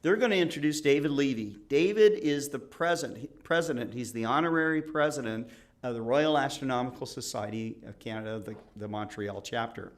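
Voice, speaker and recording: A chatty man in his sixties hosting a casual online show. He rambles through announcements with plenty of fillers and laughs easily, at a middle pitch. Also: brisk. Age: 60s. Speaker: show host. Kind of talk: casual chat.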